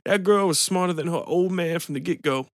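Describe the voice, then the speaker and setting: A man voicing a bitter, weepy man with a smoker's rasp who pleads and breaks into tears. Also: sits high, a little fast, dry recording, studio quality, pitched above the performer's own voice, lightly acted. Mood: tearful.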